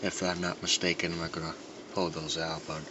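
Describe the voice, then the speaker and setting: A man with a fairly low voice talks in a loose, unscripted way, as if half to himself while showing off a collection. His delivery rambles and muses. The recording is dull and lo-fi, with clear noise behind him. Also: level tone, brisk. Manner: rambling, musing to himself as he handles things. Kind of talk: casual unscripted show-and-tell. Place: indoors in a dimly lit room.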